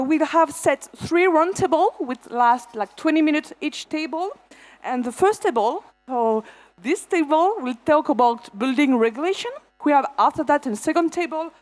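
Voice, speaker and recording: Woman, high voice, moderator at a trade-fair panel, halting delivery. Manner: halting, with fillers and restarts.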